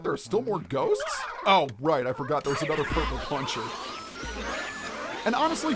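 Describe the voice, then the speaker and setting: Let's play host, lively swooping intonation, chatty, explanatory, game music behind. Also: mid-range voice. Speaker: gaming video host.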